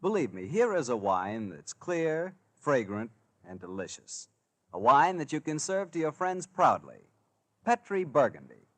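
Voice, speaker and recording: A man's voice: on the high side, big pitch swings, a little slow, a bit bright. An American man announcing in polished, folksy 1940s radio style, hosting and selling for the sponsor. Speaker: announcer and host.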